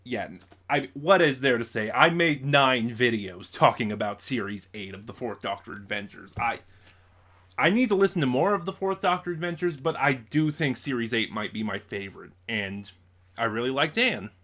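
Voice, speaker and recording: Guy doing a casual unboxing vlog, bright forward voice, rambles and over-explains stuff. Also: mid-range voice, normal speed. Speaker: YouTube vlogger, collector and reviewer.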